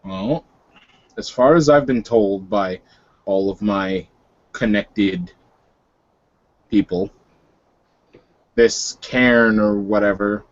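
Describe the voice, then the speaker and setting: A man as a low-voiced, weary werewolf leader. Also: lo-fi sound.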